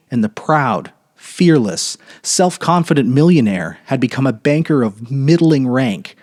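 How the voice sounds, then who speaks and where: Expressive male storyteller, very varied pitch. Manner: theatrical, measured phrasing. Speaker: storyteller, podcast narrator. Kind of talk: dramatic scripted narration of a short story.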